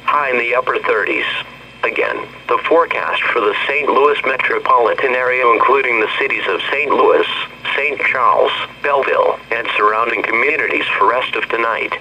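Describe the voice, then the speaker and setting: A man's voice: medium pitch, normal speed, bright, forceful tone. A synthetic male voice with an American accent reads a scripted weather forecast as a radio announcer, in formal, clipped phrases. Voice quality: synthetic text-to-speech voice. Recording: dull, noisy weather radio broadcast.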